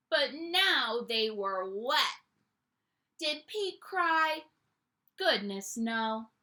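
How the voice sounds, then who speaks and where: A young woman teacher reading a picture book aloud to children at home, in an animated storytime voice that invites them to join in. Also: fairly high pitch. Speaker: primary school teacher.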